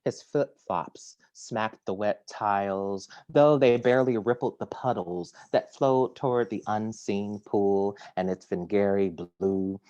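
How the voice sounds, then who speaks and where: A man reading his own poem slowly and quietly on a video call. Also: fairly low pitch, clean tone, no room sound, dull audio. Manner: slow, hushed, deliberate reading. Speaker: poet reading.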